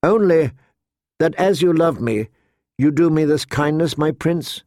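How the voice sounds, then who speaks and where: A man's voice: fairly high pitch, a little slow, a little mellow, no echo, studio quality, voice pushed up, natural voice. A man voicing an earnest young noble girl in an audiobook, pleading eagerly and deferentially. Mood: soft pleading.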